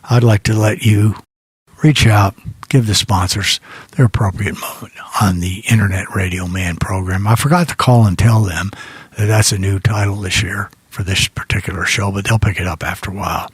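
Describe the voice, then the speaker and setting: A man's voice: on the low side, fairly even intonation, moderate pace, breathy edge, no echo. A man hosting a casual radio show, chatting in a folksy, rambling way and often repeating himself.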